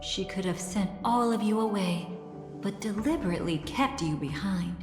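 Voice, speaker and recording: A woman voices a mysterious, elegant young schemer from a video game. She speaks with sly, playful deliberation. Her mid-pitched voice rings in heavy echo, with music strongly underneath. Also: moderate pace, lightly acted. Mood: calm, reassuring. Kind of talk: scripted game dialogue.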